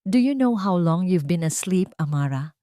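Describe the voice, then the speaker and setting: A kind male doctor played by a woman narrator speaks in a gentle, measured way. The voice is fairly low and dry, in audiobook style. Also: animated, no room sound, lightly acted. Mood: reassuring.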